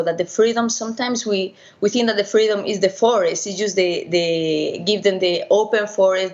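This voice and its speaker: Spanish-accented woman on a muffled call. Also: medium pitch. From Spain. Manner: halting, many restarts and fillers. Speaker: veterinarian and primatologist guest. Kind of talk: remote interview answers. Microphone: video call. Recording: lo-fi internet call.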